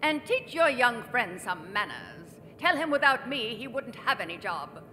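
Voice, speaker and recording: A woman's voice: on the high side, big pitch swings, unhurried, pushed and bright, a little hiss or hum, full character voice. A woman playing a grandiose, haughty faded film diva in a theatrical radio drama. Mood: imperious. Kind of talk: old-time radio drama acting. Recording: reverberant theatre stage recording.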